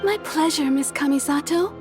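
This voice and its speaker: Woman playing kimono shop owner, high voice, courteous, businesslike, video game character. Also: normal speed, music in the background, natural voice. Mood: helpful. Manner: polite, explanatory, businesslike. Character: courteous, deferential female kimono shopkeeper.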